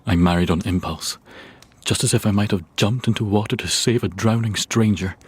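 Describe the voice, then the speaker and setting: A man's voice: lowish voice, fairly even intonation, fairly quick, darkish tone, husky, no echo. An actor playing a melancholy, self-pitying Victorian husband, solemnly reading out his private diary in a radio drama. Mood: sorrowful, resigned. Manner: solemn, confessional.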